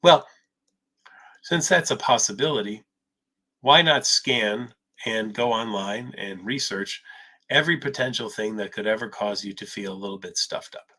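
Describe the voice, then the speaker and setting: A man's voice: lowish voice, normal speed, pushed and bright. A man, a psychologist, answering viewer questions in a live Q&A, explaining patiently with a touch of sarcasm, a little stuffed up. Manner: explanatory, mildly sarcastic when acting out obsessive thinking. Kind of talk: live Q&A talk.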